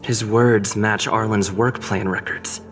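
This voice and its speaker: Low-voiced, dry male investigator. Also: fairly even intonation, slow, faint music behind. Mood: focused. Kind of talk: video game voice acting.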